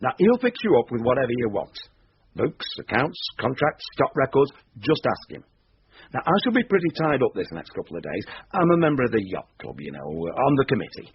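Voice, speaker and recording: An actor playing a shady, blunt boss who likes running things, brusque and plain-spoken, in a radio drama. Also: male voice, mid-range voice, big pitch swings, fairly quick, slightly rough, dull audio, exaggerated voice. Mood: businesslike, commanding.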